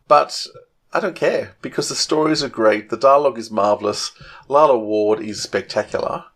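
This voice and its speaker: A man's voice: mid-range voice, moderate pace, fairly bright tone. A middle-aged man co-hosting a podcast in casual fan chat, opinionated and often hesitating as he thinks aloud. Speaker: podcast co-host.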